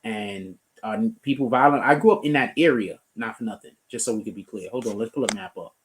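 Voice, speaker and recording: A man from the Bronx, hosting a relaxed live stream. He talks loosely and swears freely, thinking out loud as he reacts to news stories and clicks around the screen. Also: fairly low pitch, brisk, slight background noise, low-quality recording. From the Bronx, New York. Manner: loose, profane, talks while clicking through pages. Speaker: streamer host. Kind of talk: casual commentary on news.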